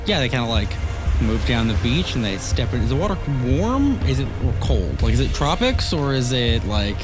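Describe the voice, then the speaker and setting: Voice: medium pitch, sing-song, normal speed. A tabletop game player chatting casually and describing a character's actions in a rambling, playful way. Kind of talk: casual improvised roleplay chat. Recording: music behind the voice. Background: music.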